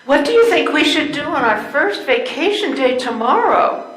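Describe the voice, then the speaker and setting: A woman's voice: high-pitched, big pitch swings, moderate pace, a bit bright. An older woman playing a curious, neglected wife in an amateur readers theater performance. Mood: hurt. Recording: echoey room recording.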